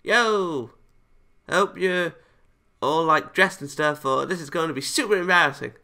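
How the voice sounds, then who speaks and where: Man putting on a higher-pitched, teasing anime girl. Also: measured pace, vocal fry, sharp tone, full character voice. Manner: breezy, mischievous, casual slang.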